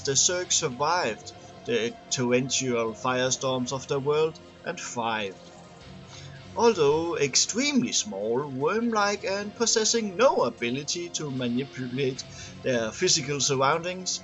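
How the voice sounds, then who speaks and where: Guy narrating game lore, normal pitch, trips over words a bit, some music in the background. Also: very animated, fairly bright tone, muffled recording. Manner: halting reading, stumbles and repeats words. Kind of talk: read-aloud narration.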